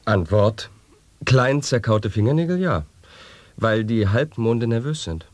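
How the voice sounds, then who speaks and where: Dry catechism answers. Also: male voice, lowish voice, lively intonation, a little slow, a little mellow, no echo. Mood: deadpan. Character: man answering absurd questions, matter-of-fact. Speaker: voice in a sound-poetry radio play. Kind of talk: 1980s radio play recitation. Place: dry radio studio.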